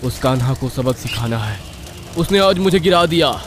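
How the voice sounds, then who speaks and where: A man voicing an evil, devil-worshipping school principal as a melodramatic animated villain, fervent and menacing. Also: medium pitch, moderate pace, slight background noise, lightly acted. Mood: pleading. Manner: chanting, pleading, menacing.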